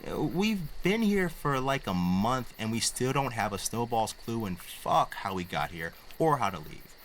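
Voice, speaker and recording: A man's voice: mid-range voice, big pitch swings, unhurried, a bit bright, slight background noise. A man playing a nerdy, anxious teenage boy in an audio drama, wordy and wry, explaining things pedantically. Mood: worried. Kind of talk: naturalistic audio drama acting. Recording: faint outdoor night ambience with crickets.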